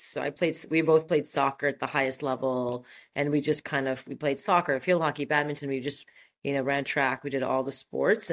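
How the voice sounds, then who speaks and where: A woman in her forties from Montreal, a native French speaker, talking enthusiastically as an interview guest with many fillers and restarts. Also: extremely low pitch. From Montreal, Canada. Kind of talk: casual interview conversation.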